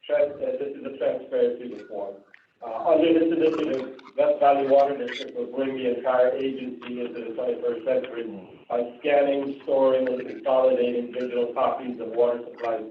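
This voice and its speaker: Man with Indian accent on a tinny line. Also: mid-range voice. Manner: reads from notes with frequent "uh" and restarts, adds casual asides. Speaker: board meeting presenter. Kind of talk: reading policy items at a public board meeting. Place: meeting room. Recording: distant, phone-like, roomy with faint hiss.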